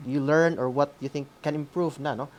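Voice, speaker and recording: A man with a Filipino accent co-hosting a radio class in an informal, hesitant, halting way. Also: on the high side, steady tone. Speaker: radio school co-host.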